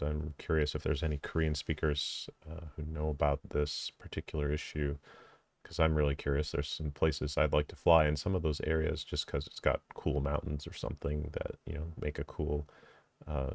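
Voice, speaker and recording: A man's voice: rumbling low, no echo. A man explaining drone rules in a casual video, thinking aloud with many ums and self-corrections.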